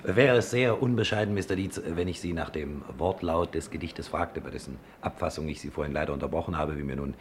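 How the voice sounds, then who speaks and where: Ein Schauspieler spielt in einem deutschen Hörspiel einen schmeichelnden, umständlich höflichen Zeitungsreporter mit förmlicher, gemessener Sprechweise. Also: male voice, extremely low pitch, slight background noise. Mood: polite, flattering. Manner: elaborately polite, measured, formal phrasing. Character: courteous, flattering newspaper reporter, smoothly polite man. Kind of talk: German radio play acting.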